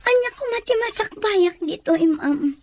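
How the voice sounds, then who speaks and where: An actress with an Ilocano Filipino accent playing a tearful young student pleading to be set free in a radio drama. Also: female voice, extremely high pitch, animated, moderate pace, no room sound. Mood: distressed, protesting. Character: pleading young student held against her will.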